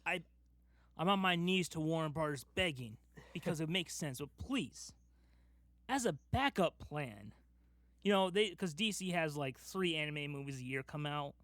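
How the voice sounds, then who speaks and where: Emphatic, higher-pitched man podcasting. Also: expressive, normal speed, fairly bright tone, no echo. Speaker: podcast host. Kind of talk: casual fan discussion.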